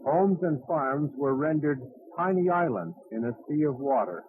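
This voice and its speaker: A man's voice: a little high, lively intonation, normal speed. A man delivering a scripted news report as a reporter. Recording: tinny archival news audio, clearly noisy.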